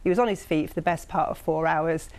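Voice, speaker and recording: A woman's voice: deep voice, fast, a little mellow. A woman from North East England, a political commentator on a debate panel, building long, earnest, persuasive arguments.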